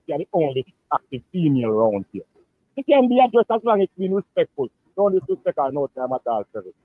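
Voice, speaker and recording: A man's voice: fairly high pitch, big pitch swings, no echo. A man with a Jamaican accent calling in by phone, arguing and lecturing the hosts. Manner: argumentative, lecturing, rapid-fire. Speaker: phone-in caller. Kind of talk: heated call-in argument. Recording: tinny dry phone line.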